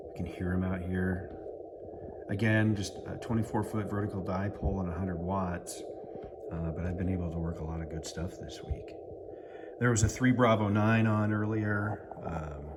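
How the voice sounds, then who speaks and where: A man's voice: low-pitched, very noisy. An American man giving a casual running commentary as he operates a radio, thinking aloud and muttering to himself. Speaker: amateur radio operator and vlogger.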